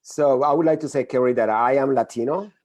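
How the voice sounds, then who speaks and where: Middle-aged Colombian man, Spanish accent, mellow dark tone, dry close sound, earnest interview guest. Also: medium pitch, a little fast, no echo. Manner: earnest, many restarts and fillers. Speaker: guest expert. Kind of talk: conversational interview.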